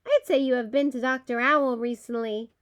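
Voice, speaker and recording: A woman's voice: fairly high pitch, steady tone, moderate pace, a bit bright, natural voice. A woman voicing a proud, keen-eyed eagle in a children's storybook.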